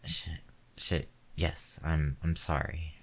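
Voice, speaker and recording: A man voicing a crude, hot-tempered man in an audio roleplay, with a low, heavy voice and slow, deliberate delivery. He snarls through nearly every line with constant cursing, and his bluster can give way to frightened pleading. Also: flat delivery, exaggerated voice. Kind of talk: one-sided audio roleplay.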